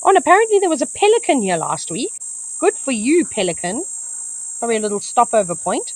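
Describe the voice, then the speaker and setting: A woman's voice: on the high side, sing-song, fast, no room sound, rough recording. A woman with a South African accent hosting a live wildlife stream, chatting enthusiastically and talking to the animals on screen. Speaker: wildlife livestream host. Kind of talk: live nature commentary. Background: cicadas screeching.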